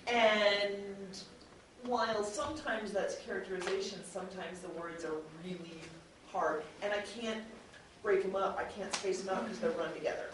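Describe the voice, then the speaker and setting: A woman's voice: mid-range voice, fairly even intonation, normal speed, heavy reverb, distant mic. A woman speaks as a chatty panelist, explaining things informally with examples. The room rings heavily around her, and she sits away from the mic with faint noise in the recording. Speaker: audio drama producer on a panel.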